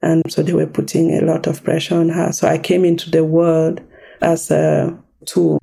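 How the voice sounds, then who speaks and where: Interview guest, woman from Cameroon, mellow and even, recounting her past with quiet emphasis. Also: low-pitched, moderate pace, vocal fry, husky. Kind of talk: personal storytelling in interview.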